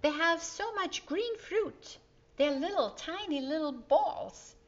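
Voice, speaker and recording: A woman's voice: very high-pitched, lively intonation, slow, pitched above the performer's own voice, heavily acted. A woman voicing a curious, eager young girl in a storybook, full of wide-eyed questions. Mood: eager curiosity. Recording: dull, slightly lo-fi sound.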